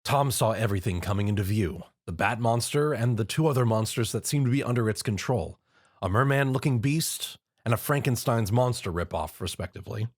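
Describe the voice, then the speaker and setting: A man's voice: mid-range voice, brisk. A man reading a fan-written action story aloud as narrator. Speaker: reader.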